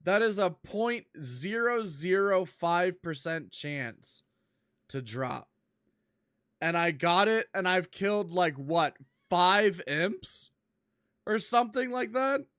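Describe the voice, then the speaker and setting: Slow-talking hoarse male streamer. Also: sits high, dry recording, studio quality. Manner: excitable, swears, laughs in disbelief. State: losing his voice, hoarse. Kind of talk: casual live-stream chat while gaming.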